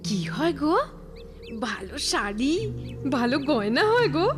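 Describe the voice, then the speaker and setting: A woman's voice: fairly high pitch, very animated, measured pace, over music. A woman voicing a simple, fearful, nagging village wife in a children's cartoon, with a whiny theatrical delivery. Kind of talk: animated cartoon dialogue for children.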